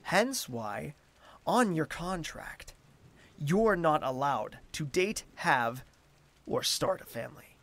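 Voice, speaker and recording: A man's voice: on the high side, sing-song, takes it slowly, fairly bright tone, heavily acted. A man playing a haughty, wounded royal ruler in an audio drama, speaking formally and imperiously. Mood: stern, accusing.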